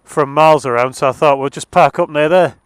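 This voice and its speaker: A man's voice: a little high, a little fast, clean tone, no room sound. A man with an English accent, chatting casually and jokily over a motorbike intercom, rambling a little with dry humour. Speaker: motorcycle vlogger. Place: outdoors, largely riding a motorbike. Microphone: helmet intercom microphone. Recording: loud wind and engine noise. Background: wind, motorbike engine and road noise.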